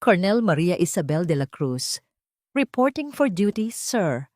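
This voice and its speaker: A woman's voice: fairly low pitch, very animated, measured pace, a little mellow, dry recording, clean studio sound. A woman voicing a wronged wife and army colonel in an audio story, cold and firm. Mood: firm, formal.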